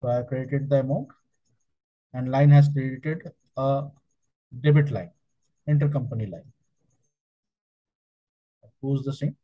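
Mellow-voiced man giving a halting software tutorial. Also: medium pitch, poor audio. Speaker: software trainer. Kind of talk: step-by-step software tutorial narration.

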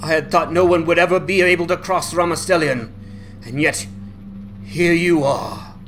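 A man's voice: fairly high pitch, pitched above the performer's own voice. A man voicing a welcoming, weary ranger guard with formal, archaic speech in a fantasy game dramatization.

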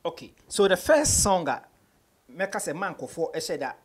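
A man's voice: high voice, expressive, moderate pace. A man in his thirties with a Ghanaian accent, answering casually as a rapper being interviewed, slipping in local phrases. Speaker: guest rapper. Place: small studio office, indoors.

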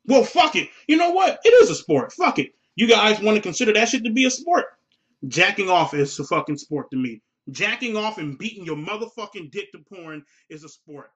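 Male comedic ranter, bright forceful delivery, big pitch swings, emphatic and incredulous. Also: big pitch swings, normal speed. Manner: emphatic, incredulous, ranting with exclamations. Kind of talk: profane comedic rant.

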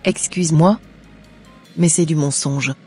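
An actress playing an eager, compliant young bride-to-be in a cartoon drama, with agreeable, formal replies. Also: female voice, deep voice, unhurried, dark tone, faint music behind.

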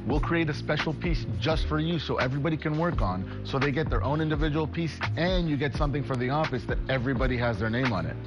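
A man in his forties talks up his workshop classes in a cheerful, chatty sales voice with a mid-range pitch and a few wisecracks. He is close on a lapel mic in an indoor workshop, and loud background music runs under him the whole time. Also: fast talker, hardly any pauses. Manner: upbeat, chatty, joking salesman tone. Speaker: woodworking shop owner. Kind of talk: promotional interview to camera.

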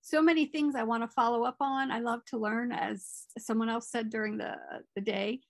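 A woman's voice: a little high, fairly even intonation, normal speed, vocal fry, no echo. A middle-aged woman moderating a conference Q&A over a video call.

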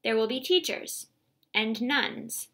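A woman's voice: a little high, animated, slow pace, pushed and bright, heavily acted. A woman voicing a loving, protective mother in a read-aloud story, speaking with pleading emotion.

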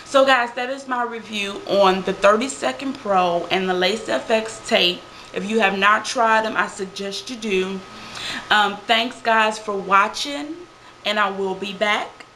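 Chatty bright-voiced woman vlogger. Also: medium pitch, a little slow, slight background noise. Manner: chatty, emphatic, lots of "okay?" and "um". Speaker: beauty vlogger. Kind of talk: casual product review vlog. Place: small room indoors.

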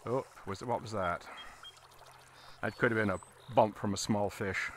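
A man giving a casual, halting fly fishing tutorial as an instructor, talking while he works outdoors. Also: on the low side, steady tone, a bit bright. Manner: halting, explaining as he casts. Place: outdoors, standing in a river. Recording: outdoor recording with water noise. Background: running water.

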